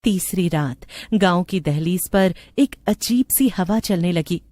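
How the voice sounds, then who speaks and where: Casting: female story narrator, slightly low voice, close dry sound, scripted storytelling. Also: expressive, takes it slowly, mellow, no room sound.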